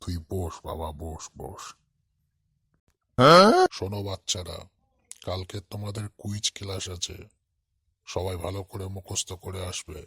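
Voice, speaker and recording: A man voicing a gruff, bossy cartoon schoolmaster who scolds in a husky voice. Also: deep voice, steady tone, unhurried, pauses often, fairly bright tone, no room sound, studio quality, full character voice. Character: gruff, scolding village schoolmaster, easily exasperated adult man. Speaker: schoolteacher character. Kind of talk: comic cartoon dialogue.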